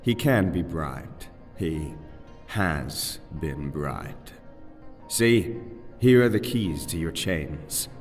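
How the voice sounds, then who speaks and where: An actor in a fantasy audio drama playing a young, scheming aristocrat who speaks in a measured, conspiratorial manner. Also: male voice, very deep voice, animated, heavily acted. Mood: secretive, calculating. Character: young aristocratic nobleman, cool, scheming, secretive. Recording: long dungeon reverb, music underneath.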